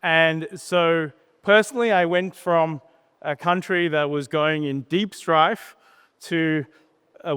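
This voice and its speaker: A man gives an informal, plain-spoken conference talk, telling personal stories with pauses and tag questions. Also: fairly high pitch, a bit bright, clear, resonant tone. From born in Sri Lanka, grew up in Australia. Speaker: startup co-founder, conference speaker. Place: conference stage in a large hall. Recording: reverberant hall PA sound.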